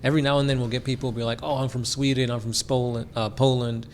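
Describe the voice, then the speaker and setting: A man co-hosting a casual podcast about a tabletop war game, answering fan questions in a relaxed way, full of "like" fillers and quick laughs. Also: mid-range voice, a little hiss or hum. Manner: laid-back, many "like" fillers, laughs often. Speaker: podcast co-host.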